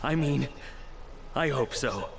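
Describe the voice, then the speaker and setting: A man's voice: mid-range voice, expressive, slow pace, gravelly, a bit bright, a little hiss or hum, full character voice. A man playing an earnest, worn-out father and cook in a video game, hesitant and pleading. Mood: eager, apologetic. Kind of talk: video game character acting.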